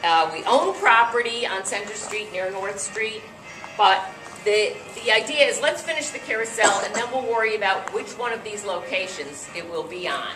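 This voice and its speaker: A middle-aged American woman with a loud voice, hosting an event and giving an informal, chatty talk to a gathering of guests. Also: mid-range voice, moderate pace. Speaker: event host and board director. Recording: echoey room from far off, faint music.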